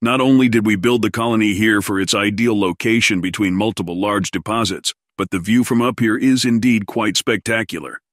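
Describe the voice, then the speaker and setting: A man's voice: on the low side, brisk, hardly any pauses, dry recording, studio quality, lightly acted. A man playing a fussy, courteous alien colony administrator in an audio drama, with a formal, officious manner. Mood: genial.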